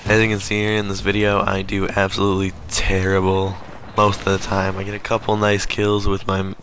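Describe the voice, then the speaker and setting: A man with a hoarse, strained voice that keeps cracking, clearing his throat often as he talks casually over gameplay footage. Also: deep voice, monotone, fairly quick, fairly bright tone, slight background noise. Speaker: gaming channel host.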